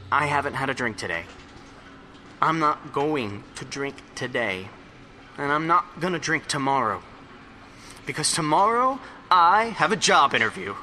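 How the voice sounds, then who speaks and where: A man playing an excitable, hungover rambler in an audio drama monologue, stammering, repeating and correcting himself as he confides. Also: medium pitch, slight background noise, full character voice. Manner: halting, repetitive, self-correcting rambling.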